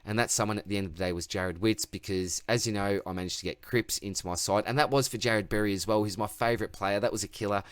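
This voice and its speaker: Low-voiced Australian man reviewing footy. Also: steady tone, fast talker, few pauses, clear, resonant tone, no echo. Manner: chatty, rambling, self-mocking. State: voice getting tired. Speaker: fantasy football channel host. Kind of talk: casual solo sports review.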